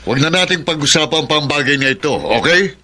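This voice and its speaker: A man's voice: fairly high pitch, animated, fast, sharp tone. An actor playing a placating, understanding middle-aged father in a Filipino radio drama. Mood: exasperated, placating. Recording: noisy radio drama track.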